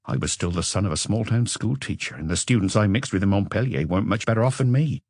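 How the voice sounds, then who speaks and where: A man voicing an educated Frenchman who looks back on a passionate marriage with regret, in a measured, confessional audiobook reading. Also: lowish voice, lively intonation, darkish tone, dry recording, clean studio sound, pitched above the performer's own voice. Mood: reflective, candid. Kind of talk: audiobook character dialogue.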